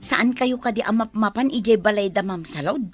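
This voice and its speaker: A woman's voice: medium pitch, brisk, faint music behind, lightly acted. A woman acting a nosy, gossipy household worker with an Ilocano accent in a radio drama.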